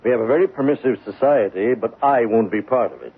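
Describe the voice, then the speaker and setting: An actor playing a menacing, self-righteous killer with a pompous, commanding manner in a 1970s radio drama. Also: male voice, medium pitch, fast talker, breathy edge, close to an everyday voice. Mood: smug, stern. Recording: muffled, phone-like 1970s radio broadcast recording.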